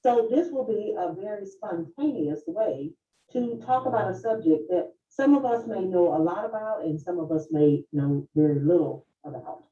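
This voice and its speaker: A woman of fifty-five moderating a panel in a formal, deliberate and courteous way. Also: on the low side, dark tone. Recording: distant, roomy, dull lo-fi video sound.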